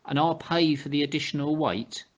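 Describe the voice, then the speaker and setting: A woman playing an exasperated middle-aged son who tries to keep calm, in amateur radio comedy. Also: low voice, normal speed, muffled recording. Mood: worried. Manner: placating, exasperated.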